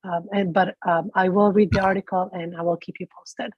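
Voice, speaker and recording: A woman's voice: lowish voice, little pitch movement, brisk, slightly rough, dry recording, lo-fi sound. A congested woman reporting to a committee from her office, polite and apologetic. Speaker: sheriff's office representative. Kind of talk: reporting to a public meeting. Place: her office, joining remotely.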